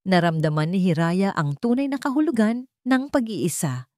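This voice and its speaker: A woman with a Filipino accent reads a scripted dramatic story in measured, short phrases. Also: medium pitch, lively intonation, darkish tone, no echo, clean studio sound.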